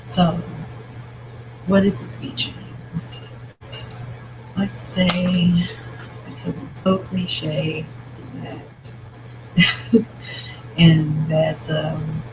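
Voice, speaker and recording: A novelist with a raspy, scratchy voice talks through a story outline in a casual vlog, thinking aloud with pauses and restarts. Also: medium pitch, completely flat delivery. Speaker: novelist outlining their book.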